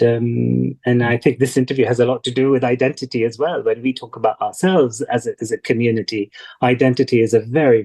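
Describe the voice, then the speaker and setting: Thoughtful, deliberate man. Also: mid-range voice, gravelly, a little mellow, husky, poor audio. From Kuwait, of Palestinian parents. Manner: thoughtful, deliberate, with repetition for emphasis. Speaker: interview guest. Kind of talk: reflective personal interview talk. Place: video call from Amman. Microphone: video call.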